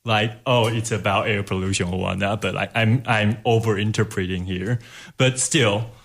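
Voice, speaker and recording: A man's voice: on the low side, steady tone, normal speed, a bit bright. A man on a panel giving his opinions in a casual film discussion, in a tentative, hedging way.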